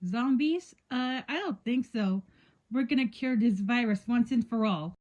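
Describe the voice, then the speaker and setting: A woman's voice: fairly high pitch, lively intonation, a little slow, noisy background. A woman playing a confident, determined scientist in an amateur zombie outbreak audio drama. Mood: doubtful, determined. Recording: dull lo-fi amateur microphone.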